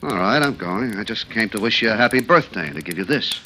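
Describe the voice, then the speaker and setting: Sharp, very forceful offended man. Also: lowish voice, expressive, fast, slight background noise. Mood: impatient. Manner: indignant, brusque, emphatic. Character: touchy, offended man, a spurned business partner. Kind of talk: 1940s radio drama acting. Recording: vintage radio broadcast, music behind.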